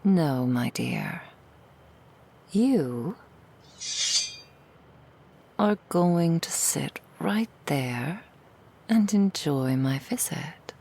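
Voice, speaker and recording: A woman voicing a seductive, cruel female demon in an ASMR roleplay, in a slow, breathy whisper full of mocking, intimate taunts. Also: deep voice, animated, dark tone, full character voice. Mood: silky menace.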